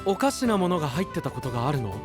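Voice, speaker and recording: A kind, easygoing young man in an anime audio drama. He sounds casual and curious, with very lively pitch movement over quiet music. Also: very fast. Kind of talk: Japanese anime-style audio drama acting.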